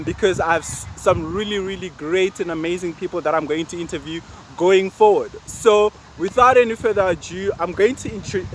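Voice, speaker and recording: Brief: young host, a touch high-pitched, friendly and chatty, street noise around him. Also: male voice. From South Africa, Limpopo. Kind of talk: informal interview chat. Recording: noisy outdoor recording with traffic. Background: street and vehicle noise.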